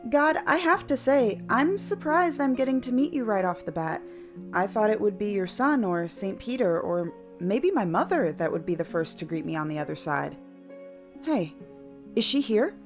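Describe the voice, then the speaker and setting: An actress playing an excitable, blunt loner newly arrived in the afterlife, exclamatory and frank, in a comic audio play. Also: female voice, medium pitch, normal speed, faint music behind, lightly acted. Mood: amazed, delighted. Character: newly dead animal-loving woman, excitable, blunt loner. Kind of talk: comic audio drama acting.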